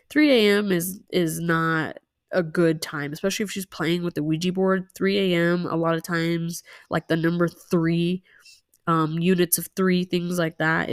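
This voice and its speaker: A woman's voice: lowish voice, dry recording, studio quality. A young woman chatting casually with many fillers and asides while telling a story time over a nail tutorial.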